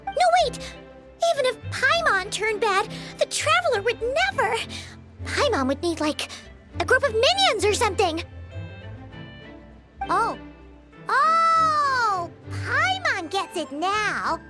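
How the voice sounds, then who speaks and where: A tiny, childlike game fairy, with an extremely high, squeaky voice. She is naive and excitable, exclaiming with very lively pitch swings. Playful music plays clearly behind her. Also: slow pace, long pauses, exaggerated voice. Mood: dawning realization. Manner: speaks of herself in third person, exclamatory. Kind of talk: video game voice acting.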